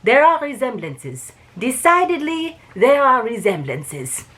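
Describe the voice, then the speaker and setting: A woman's voice: on the high side, sing-song, pushed and bright, pitched above the performer's own voice, heavily acted. A woman doing a fussy Belgian-accented detective. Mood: musing. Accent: mock Belgian-French accent. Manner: slow, precise, musing delivery. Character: fussy male Belgian detective, older, deliberate.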